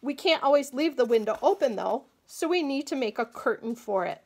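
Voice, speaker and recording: A woman educator giving a clear, patient, step-by-step craft demonstration at a table indoors. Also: sits high, expressive, fairly quick. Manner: clear, patient instructional delivery. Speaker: agriculture educator. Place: small indoor room, table-top demo.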